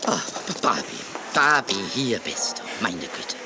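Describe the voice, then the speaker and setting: An actor playing a flustered, high-strung TV director who stammers and repeats words in a children's radio play. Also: male voice, big pitch swings, measured pace. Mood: pleading, urgent. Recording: noisy old cassette radio play.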